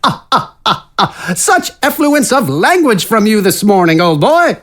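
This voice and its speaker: A man's voice: sits high, very animated, unhurried, a bit bright, heavily acted. A man voicing a cheeky, teasing underling with mock-posh chatter in a fantasy audio drama. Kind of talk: scripted audio drama acting.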